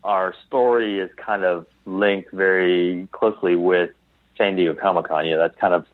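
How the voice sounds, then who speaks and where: A man with a fairly low voice talks casually as an interview guest. He pauses to find his phrasing, and the sound is narrow and phone-like, with little room around it. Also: steady tone, dry recording. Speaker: guest, founder of a geek apparel company. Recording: thin phone-like call audio.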